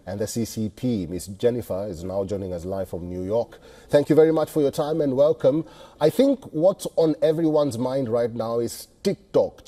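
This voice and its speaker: A male news anchor with a very dark, mellow voice and a husky grain to it. He delivers scripted news and interview questions in a presenter's register, at an average male pitch.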